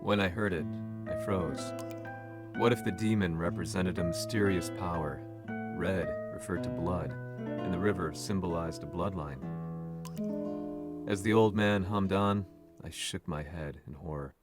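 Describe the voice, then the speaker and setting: An actor playing a serious investigative journalist, narrating his story in the first person in a measured, noir-like way. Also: low voice. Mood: grave, reflective. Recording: voice-over with loud guitar music.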